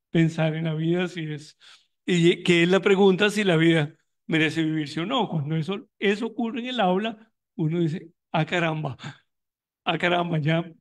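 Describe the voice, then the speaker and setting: A high-voiced man speaking at an academic conference. He speaks reflectively, restarts phrases often and searches for words aloud as he goes. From Costa Rica. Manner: thoughtful, many restarts and fillers, reflective. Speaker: panelist on migration in education; university educator running tutoring programs.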